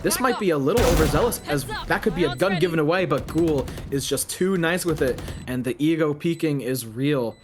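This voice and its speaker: Higher-voiced man commentating esports. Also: a little fast, few pauses. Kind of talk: live esports play-by-play and analysis commentary.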